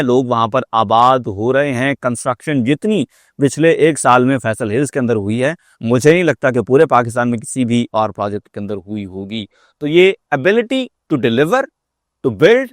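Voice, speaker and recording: A man's voice: mid-range voice, a bit bright, dry recording, studio quality. A man with a Pakistani accent giving a persuasive market update as a real estate marketer, explaining emphatically and dropping in English phrases.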